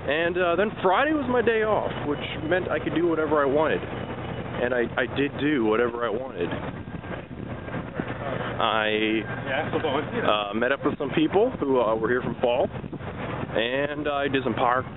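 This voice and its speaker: A man's voice: mid-range voice, a little slow, long pauses. A young man rambling casually through a walking vlog, joking at himself while he strolls outdoors. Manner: chatty, rambling, self-joking asides. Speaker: vlogger. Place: outdoors at a theme park resort, walking. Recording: tinny lo-fi audio with outdoor noise.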